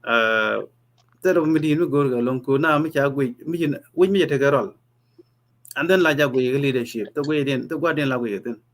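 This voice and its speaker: A man hosting a casual discussion, talking haltingly with many fillers and restarts. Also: medium pitch. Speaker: host. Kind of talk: casual discussion mixing languages.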